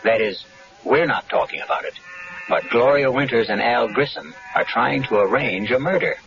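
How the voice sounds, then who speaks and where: A man hosting a radio mystery show with measured, ominous scripted narration. Music plays clearly behind him. The recording sounds dull, like 1970s broadcast audio. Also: medium pitch. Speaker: radio drama host.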